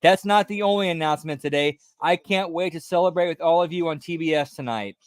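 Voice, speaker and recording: Guy streaming a watch-along, fairly high voice, dry close mic, says pal a lot. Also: brisk, dry recording. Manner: laid-back, tacks "pal" onto his remarks. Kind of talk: casual live commentary over a broadcast.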